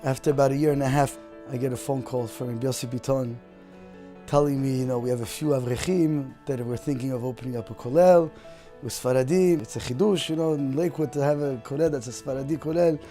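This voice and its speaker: A man's voice: mid-range voice, steady tone, fast, dark tone. A man from Canada who grew up speaking Spanish at home, telling his story in a testimonial. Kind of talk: promotional video testimonial. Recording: music playing behind the voice.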